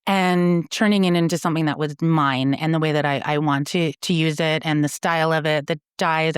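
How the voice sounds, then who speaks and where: A woman's voice: lowish voice, clean tone, no echo, studio quality. A woman from Canada, a company founder, explaining her work earnestly in a conversational interview, with frequent fillers and restarts.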